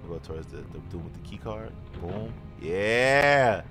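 A man with a slight lisp chatting casually while playing a video game with a friend, excitable and swearing at the screen. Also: lowish voice, a little slow. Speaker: gamer. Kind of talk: casual co-op gameplay chat. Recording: music playing behind.

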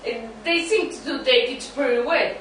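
A woman playing a mopey, newly dumped man in an amateur sitcom reading, halting and plaintive. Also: high voice, fairly bright tone. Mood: defensive, plaintive. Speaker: student in an amateur dramatized reading. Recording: distant, very echoey room, faint noise.

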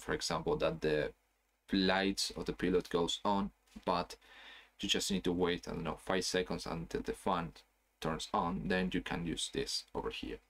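Low-voiced man explaining software, chatty. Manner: casual, explanatory, frequent "okay?" checks. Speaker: software trainer. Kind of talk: screen-share tutorial.

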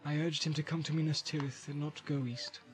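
A man's voice: on the high side, fairly even intonation, close to an everyday voice. A man voicing a grim, evasive warrior in a subdued, formal manner for a storybook reading. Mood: subdued, defensive. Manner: halting, quiet, formal.

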